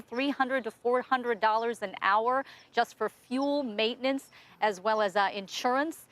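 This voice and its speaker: A woman with an American accent giving a live TV news report as a field reporter. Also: fairly high pitch. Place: outdoors on a residential street.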